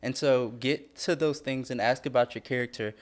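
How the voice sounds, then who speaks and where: A young man speaking as a podcast guest in a soft-spoken, gentle and reflective way about his faith. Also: medium pitch, moderate pace. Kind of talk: casual faith chat.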